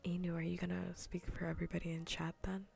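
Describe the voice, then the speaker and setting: A woman livestream host speaking softly in a breathy, often whispered ASMR style, teasing and playful, close to the microphone. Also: lowish voice, flat delivery, slight background noise. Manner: teasing, playful, slow and repetitive. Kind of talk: soft-spoken ASMR gaming livestream chat.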